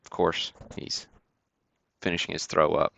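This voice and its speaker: Deep gravelly man calling card breaks. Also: little pitch movement, unhurried, a bit bright. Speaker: host of a sports card break livestream. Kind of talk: casual livestream commentary, calling out cards and buyers.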